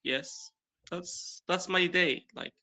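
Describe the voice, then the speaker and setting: A man's voice: sits high, slow pace, sharp tone. A young man from Morocco, an Arabic speaker practicing English in casual conversation, hesitant, with many fillers and restarts. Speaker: primary school teacher practicing English.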